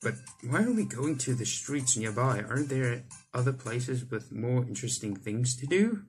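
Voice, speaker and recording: A man's voice: mid-range voice, very animated, moderate pace. A young man reading visual novel text aloud with a dramatic delivery, stumbling over words now and then. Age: young adult. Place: indoor room, on webcam. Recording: game music behind the voice. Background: visual novel game music.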